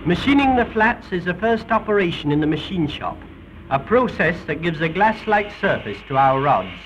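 A man's voice: high voice, big pitch swings, normal speed, a bit bright, a little hiss or hum, poor audio. A British man giving formal, precise scripted narration in the theatrical manner of a 1930s educational film, on an old film soundtrack. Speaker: documentary narrator.

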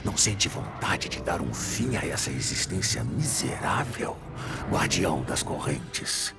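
A deep, growling undead knight. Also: male voice, sing-song, loud music behind the voice, heavily acted. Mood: brooding. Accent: Brazilian. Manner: growling, menacing, slow. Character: huge wrathful undead knight, brooding, tormented. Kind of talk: audio drama acting.

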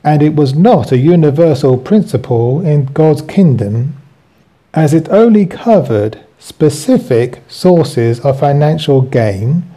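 A man reading a scripted religious lesson aloud as a teacher, in measured phrases. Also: medium pitch, warm tone. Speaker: Bible teacher.

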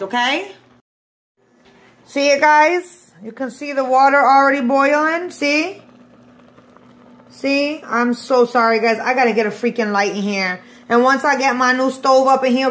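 Woman, high voice, slow unhurried pace, informal cooking vlogger, chatty, home kitchen. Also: fairly bright tone. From the Bronx, New York, USA. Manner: informal, addressing viewers as guys, unscripted. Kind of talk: casual cooking demonstration, chatty. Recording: echoey room, clear background noise.

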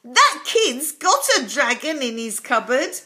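A woman voicing an astonished, excitable storybook postwoman, exclaiming emphatically. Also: sits high, takes it slowly, pitched above the performer's own voice, heavily acted. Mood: shocked.

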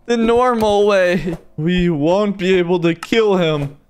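A man's voice: high voice, takes it slowly, darkish tone, faint music behind. A man voicing an earnest, polite young stick-figure friend in a cartoon fan dub, with a pleading manner.

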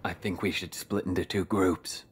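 A man's voice: low-pitched, fairly even intonation, a little hiss or hum, lightly acted. An actor playing a brooding, enigmatic young man in a video game, composed and formal in his delivery. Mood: calm, detached. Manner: deliberate, formal, understated. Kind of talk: English-language video game cutscene acting. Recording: loud music behind the voice.

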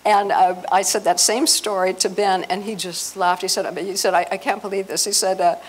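A middle-aged American woman giving an informal, digressive talk as a presenter, halting as she goes. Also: mid-range voice, fairly even intonation. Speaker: presenter at a convention. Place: hotel meeting room with seated audience. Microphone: handheld microphone. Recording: noisy hotel meeting room.

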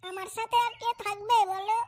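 A woman's voice: very high voice, flat delivery, brisk, darkish tone. A woman voicing a blunt, foul-mouthed married adult village woman in a comic cartoon. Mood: matter-of-fact.

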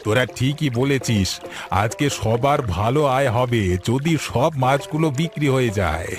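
An actor playing a worried elderly village uncle in a cartoon, talking slowly and hesitantly. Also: male voice, medium pitch, animated, faint music behind, pitched below the performer's own voice, lightly acted. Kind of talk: cartoon voice acting.

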